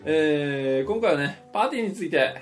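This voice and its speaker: A man's voice: fairly high pitch, lively intonation, normal speed. A man hosting a comedic radio advice segment, speaking politely and jokingly as he plays along with the banter. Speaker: radio show host. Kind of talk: comedic advice-segment chat, reading listener letters. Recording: music playing behind the voice. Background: background music.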